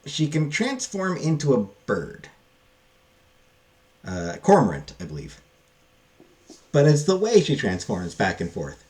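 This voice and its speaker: A man giving a casual, unscripted book review, halting and thinking aloud with frequent pauses. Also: fairly high pitch, huge pitch swings, darkish tone.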